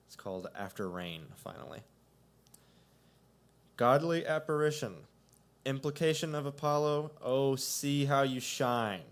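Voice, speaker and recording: A man reading his own poems aloud at a coffee shop open mic, with a measured delivery that swells. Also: fairly low pitch. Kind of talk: poetry reading.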